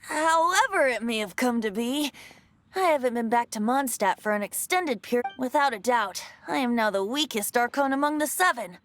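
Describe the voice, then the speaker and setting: A woman's voice: fairly high pitch, expressive, normal speed, fairly bright tone. A woman doing a playful boyish bard god. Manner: light, playful, airy delivery. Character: carefree young male bard god, playful and modest. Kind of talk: video game dub acting.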